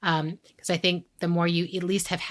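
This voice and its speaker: A woman with a slightly low voice and an American accent. She chats casually and reflectively in a podcast setting, sharing advice and slipping into imagined quotes to illustrate her points. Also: flat delivery, a little fast. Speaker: podcast co-host giving advice.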